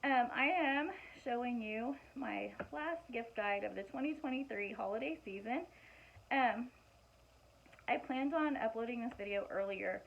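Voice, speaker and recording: A woman in her forties with a hoarse, fading voice, casually chatting through gift ideas in a home vlog with lots of fillers and restarts. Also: on the high side, a little slow, a little hiss or hum. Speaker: vlogger showing gift ideas. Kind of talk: casual show-and-tell vlog. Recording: muffled lo-fi home recording.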